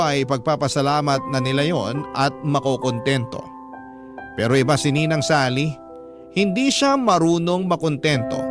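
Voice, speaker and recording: A man narrating a radio drama in the first person, reflective and measured. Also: on the high side. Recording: narration over music.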